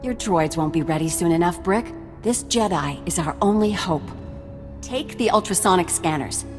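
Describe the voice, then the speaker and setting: A woman's voice: on the low side, normal speed, music in the background, natural voice. Woman acting a businesslike sci-fi mission briefer. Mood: urgent, serious. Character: earnest, businesslike female mission briefer in science fiction. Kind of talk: video game cutscene acting.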